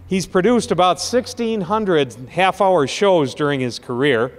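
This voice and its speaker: A man giving an impassioned speech at a rally, slowing down to stress key words. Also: high-pitched, lively intonation, long reverb tail. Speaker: rally speaker introducing the next speaker.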